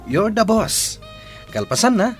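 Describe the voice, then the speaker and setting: An eager young Filipino suitor in a radio drama, cheerful and generous. His pitch leaps around in animated speech, with soft music underneath. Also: slow pace, full character voice. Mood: eager, playful.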